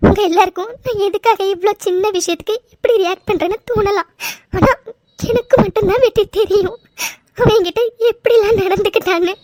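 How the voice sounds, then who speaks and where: Woman doing upset young office girl in a drama, super high voice, talks fast, pleads and repeats herself. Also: animated, no echo. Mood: tearful, defensive. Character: distraught, defiant young office woman, normally easygoing. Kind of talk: emotional audio drama acting.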